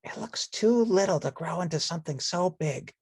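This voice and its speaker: Woman doing a high, childlike big bird. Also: lively intonation, moderate pace, a little mellow, slightly rough, dry recording, studio quality. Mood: curious wonder. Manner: wondering, naive. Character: gentle, childlike big male bird, curious. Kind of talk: children's storybook read-along character voice.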